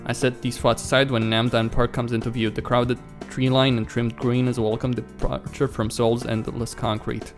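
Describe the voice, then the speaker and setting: A man's voice: mid-range voice, flat delivery, normal speed, few pauses. A man hosting a let's play, reading a visual novel aloud and reacting informally with some mild swearing, with game music behind him. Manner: acts out dialogue and comments informally with mild swearing.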